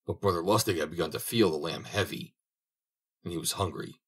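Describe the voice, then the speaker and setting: A man's voice: sits low, fairly quick, breathy edge. A man reading a fairy tale aloud as an audiobook narrator, telling it expressively. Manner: expressive storytelling. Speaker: audiobook reader.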